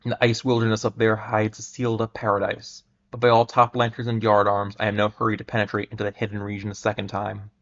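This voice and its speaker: A man with a lowish voice full of gravelly fry speaks as a livestream host reading aloud. His delivery is halting, with stumbles and repeated words. The sound is dull and lo-fi. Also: monotone, fairly bright tone. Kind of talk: dramatic reading and casual livestream chat.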